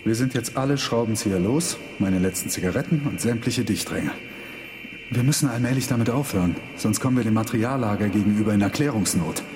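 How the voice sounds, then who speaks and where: A man's voice: on the low side, fairly quick, lightly acted. A man playing an eager, ambitious experimental physicist in a radio drama, talking in an emphatic, technical way. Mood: insistent, analytical. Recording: clear background hum.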